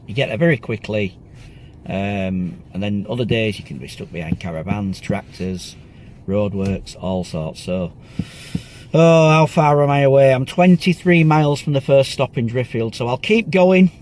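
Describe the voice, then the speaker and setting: A man's voice: mid-range voice, animated, moderate pace. A man chatting casually while he drives, rambling with lots of ums, and bunged up with a cold. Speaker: vlogger. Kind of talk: casual driving commentary. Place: inside a car. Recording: loud road noise.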